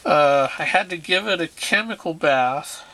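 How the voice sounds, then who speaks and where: A man's voice: a little high, lively intonation, a little slow, sharp tone, no room sound. A man talking casually as he demonstrates and explains repair work on equipment. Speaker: radio repair hobbyist presenter.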